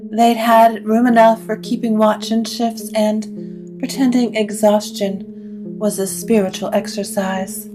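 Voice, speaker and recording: A woman narrating a scripted gothic story in a measured, literary way. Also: medium pitch.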